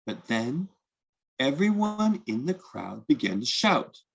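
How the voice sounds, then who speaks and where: A man hosting a children's read-aloud, telling a picture book story expressively and deliberately, inviting listeners to join in. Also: medium pitch, big pitch swings, vocal fry, slightly rough.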